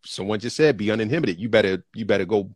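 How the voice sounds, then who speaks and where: A man hosting an interview show, asking questions in an expressive, enthusiastic way. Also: on the low side, fast, breathy edge, no room sound, studio quality. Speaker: podcast host. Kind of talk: interview conversation.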